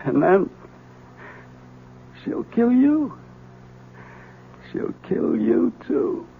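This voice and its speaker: An actor playing a desperate, bitter husband in halting, overwrought 1970s radio drama style, on a tinny old broadcast. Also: male voice, very high-pitched, very animated, gravelly, slight background noise, full character voice. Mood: bitter, dying. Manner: halting, drawn-out, overwrought delivery. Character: desperate, bitter husband out to kill his wife.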